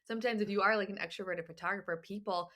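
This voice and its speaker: A woman's voice: medium pitch, steady tone, fast talker, fairly bright tone. A young woman hosting a podcast, agreeable and encouraging, often chiming in with short affirmations. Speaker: podcast host. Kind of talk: casual podcast interview.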